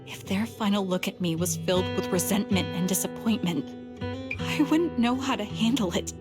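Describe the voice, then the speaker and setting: An actress playing a young woman soldier haunted by loss, speaking softly and sorrowfully in dramatic video game dialogue. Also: mid-range voice, normal speed, music in the background, natural voice. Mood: anguished, guilty. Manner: subdued, sorrowful, earnest. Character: wounded young female soldier haunted by lost squadmates.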